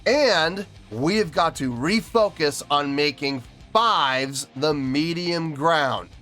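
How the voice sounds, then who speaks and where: A man's voice: a little high, takes it slowly, pushed and bright. A man hosting music review videos, talking casually and emphatically to his viewers, often repeating phrases for stress. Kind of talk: casual spoken review to camera, addressing viewers.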